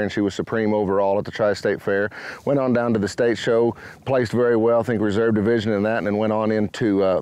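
A man in his forties speaks quickly in a low, smooth, resonant voice. He sounds eager and grateful. His outdoor lapel sound is close and dry. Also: darkish tone, no room sound. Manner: eager, grateful, piles up results. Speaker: cattle customer, parent. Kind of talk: interview. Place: outdoors on open grassland.

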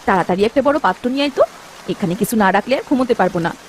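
A woman playing a nagging, sharp-tongued poor village wife and mother in a cartoon story, scolding and sarcastic. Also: medium pitch, lively intonation, very fast, a little hiss or hum, close to an everyday voice. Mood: bossy, impatient. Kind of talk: dramatic cartoon story dub.

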